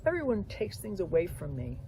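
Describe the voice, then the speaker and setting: An older woman voicing a small, excitable, plaintive young female turtle in a storybook read outdoors. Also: on the low side, fairly quick. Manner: exclaiming, wailing, eager. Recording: outdoor ambient noise.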